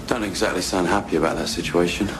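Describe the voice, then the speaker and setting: A man playing a terse, probing police detective with a husky voice in an audio drama. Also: low voice, quick pace, music in the background, natural voice. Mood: dry, probing. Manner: terse, questioning.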